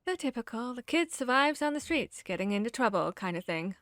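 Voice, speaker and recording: A woman playing a wry, haunted young vampire hunter, confiding softly and hesitantly in an ASMR fantasy roleplay. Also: a little high, lively intonation, a little fast, no room sound, clean studio sound. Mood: wry, resigned.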